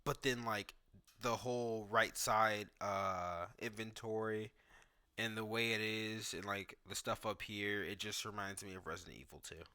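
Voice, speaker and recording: A man's voice: on the low side, fairly even intonation, measured pace, pushed and bright. A man giving casual, off-the-cuff commentary on a video game, thinking aloud in informal language and often saying "like". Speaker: gaming video creator reacting to cutscenes and gameplay.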